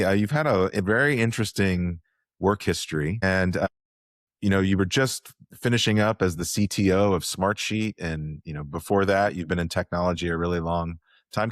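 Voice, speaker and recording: Very deep-voiced male podcast host. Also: dry recording, clean studio sound. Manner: friendly, loose, many fillers and affirmations. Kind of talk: casual interview hosting.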